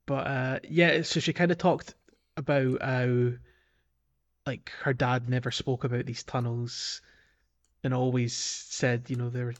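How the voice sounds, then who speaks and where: A man's voice: mid-range voice, flat delivery, unhurried. A man co-hosting a podcast, chatting casually about a video game in a hesitant, rambling way. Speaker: podcast co-host.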